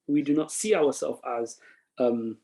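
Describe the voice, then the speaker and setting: A man, interviewing in a halting way with many fillers and restarts. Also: medium pitch, dark tone. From East London. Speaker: interviewer, imam. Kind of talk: conversational interview.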